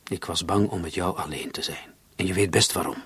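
A man's voice: deep voice, fairly quick, fairly bright tone, breathy edge, no echo, exaggerated voice. An actor playing a troubled, guilt-ridden man under suspicion in a radio drama, speaking earnestly and defensively. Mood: worried.

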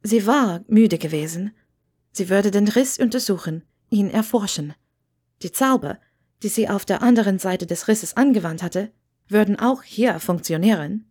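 A woman narrates scripted fiction in the style of an audiobook. Her voice sits at an average pitch for a woman as she reads the story. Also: animated, moderate pace, a little mellow, slightly rough.

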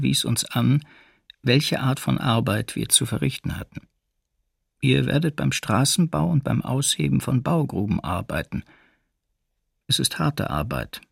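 An actor playing a former camp prisoner, recounting the ordeal in a restrained, deliberate way for a documentary radio drama. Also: male voice, medium pitch, gravelly, slightly rough, dry recording, studio quality, full character voice. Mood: restrained, grim. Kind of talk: documentary radio drama, first-person testimony.

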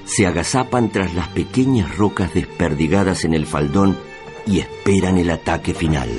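A man narrating a radio drama in a solemn, measured, literary manner. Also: low-pitched. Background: music.

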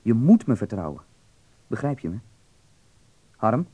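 A man's voice: lowish voice, sing-song, measured pace, slightly rough, narrow, tinny audio, exaggerated voice. An actor playing a worldly young man from Berlin in a radio drama, candid and insistent yet reassuring, explaining patiently and earnestly.

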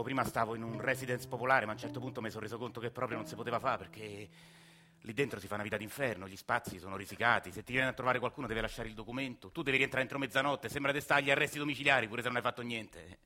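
An actor in his forties playing a working-class Roman father who tells his story in a quiet, wry, confiding way, with a Roman accent. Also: mid-range voice. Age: 40s. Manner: soft-spoken, rambling, wry confiding storyteller. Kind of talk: radio drama monologue.

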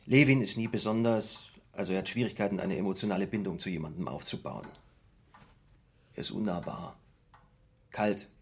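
Rigid middle-aged father, rough husky voice, indignant and halting, naturalistic radio drama. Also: low voice. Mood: indignant, strained.

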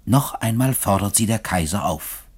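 Deep, husky male narrator. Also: animated, normal speed. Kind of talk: scripted radio play narration.

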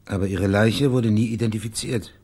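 Male private detective, radio crime play: laconic, dry, husky, on the low side. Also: moderate pace, a little mellow. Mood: dry, probing. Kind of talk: naturalistic radio play acting.

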